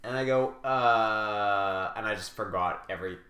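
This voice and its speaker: A man's voice: fairly low pitch, measured pace, sharp tone, echoey room. A man from Quebec co-hosting a podcast, chatting casually and hesitantly with many fillers. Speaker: podcast co-host. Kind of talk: casual chat.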